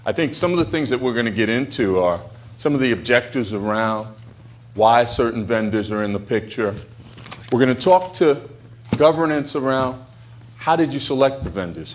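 A man's voice: fairly low pitch, slight background noise. A man moderating a panel at a business conference, speaking fluently and conversationally as he frames the topics with examples. He stands before a seated audience in a hotel conference room.

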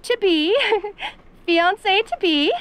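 A woman's voice: very high voice, takes it slowly, fairly bright tone, heavily acted. A woman playing a perky, doting yandere mother in an audio roleplay, with sugary, theatrical, genteel speech. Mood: gushing. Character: perky, doting, middle-aged yandere mother, teasingly clingy.

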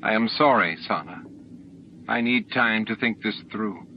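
A man's voice: fairly low pitch, unhurried. A man voicing an honorable, troubled male alien in an audiobook, earnest and formal in his speech. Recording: dull noisy audiobook recording.

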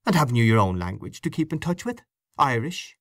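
A man's voice: medium pitch, big pitch swings, brisk, a bit bright, no room sound, clean studio sound, voice pushed up. A man voicing an insistent young Irish nationalist woman who keeps pressing with pointed questions.

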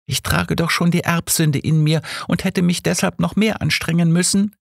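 A man's voice: fairly high pitch, steady tone, moderate pace, gravelly, no room sound, studio quality, voice pushed up. A man voicing a very young, guilt-ridden woman in an audiobook, timid, fragile and sobbing. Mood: tearful, guilty. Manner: timid, unsure.

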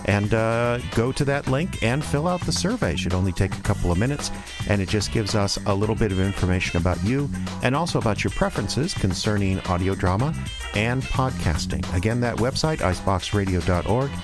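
A man's voice: on the low side, lively intonation, a little fast, music in the background. A man hosting and narrating a radio theater show in a scripted announcer style. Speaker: host and announcer.

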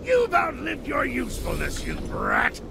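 A man voicing a gloating, middle-aged mad scientist villain in a video game, booming and theatrical with a gravelly voice. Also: wildly swooping pitch, a little slow, very noisy, exaggerated voice. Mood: gloating, menacing. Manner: theatrical, cackling, barking orders. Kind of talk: video game cutscene acting. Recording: loud music behind.

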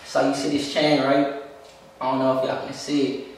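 A man's voice: medium pitch, monotone, normal speed, a little hiss or hum. A young man talking casually as an interview guest, laid-back and repeating "you know what I'm saying" as he speaks. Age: young adult. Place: room with a leather couch, indoors. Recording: echoey room from a distance.

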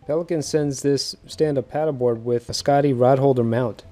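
A man in his thirties casually narrating a how-to project on a docked boat, easygoing and quick to laugh at himself. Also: mid-range voice, fairly even intonation, mellow, soft background music. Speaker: fishing video host.

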